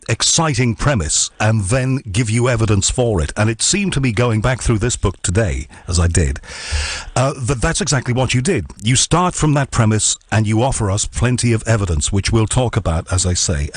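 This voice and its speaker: A man hosting a radio interview, asking measured, complimentary questions. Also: mid-range voice, lively intonation, husky, dry recording. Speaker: radio host.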